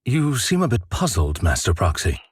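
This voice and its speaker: Game butler: courteous, composed, deferential, with a deep and heavily husky voice. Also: male voice, huge pitch swings, moderate pace, dry recording, exaggerated voice. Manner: formal, courteous. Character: polite, composed male butler, deferential and reassuring. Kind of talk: video game voice acting.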